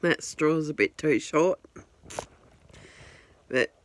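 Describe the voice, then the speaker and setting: A woman's voice: sits low, very slow. A woman in her forties rambling candidly in a casual vlog, filming herself on a phone at home. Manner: rambling, candid, self-deprecating with chuckles. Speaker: vlogger. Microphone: handheld phone camera.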